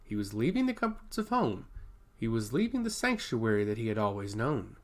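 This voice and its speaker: Male narrator for fantasy fiction, dramatic and highly varied in pitch. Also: very animated, brisk. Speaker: storyteller.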